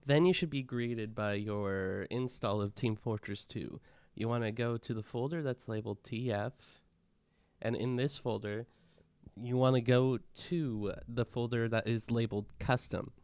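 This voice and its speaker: A man narrating a casual step-by-step software tutorial in a plain, instructional manner. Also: medium pitch, a little slow, clean tone, dry recording.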